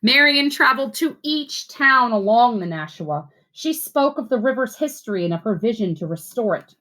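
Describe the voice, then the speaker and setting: A woman reading aloud as a teacher to schoolchildren, with expressive, deliberate phrasing. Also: on the high side, poor audio. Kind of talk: read-aloud storytelling and simple instructions for children.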